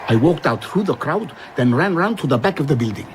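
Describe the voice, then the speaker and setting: A man's voice: fairly high pitch, animated, fairly quick, a little hiss or hum, close to an everyday voice. An actor playing a haunted, wistful traveller reflectively recounting his past in a radio drama. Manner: reflective, storytelling.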